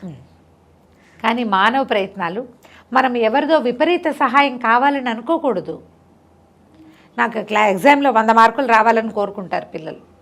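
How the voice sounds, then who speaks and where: A middle-aged woman storyteller with a fairly high voice touched with creak. She tells folk tales conversationally, repeating words for emphasis and stepping aside to spell out the moral in simple terms. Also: expressive, normal speed, pauses often, a bit bright. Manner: didactic, explaining the moral simply.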